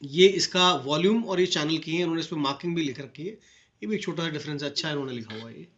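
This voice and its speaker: A man's voice: on the high side, fast talker, dull audio. An Indian man presenting a casual unboxing review, explaining features to viewers in a friendly-address style with English terms mixed in. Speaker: video presenter and product reviewer. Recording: echoey room with steady noise and clicks.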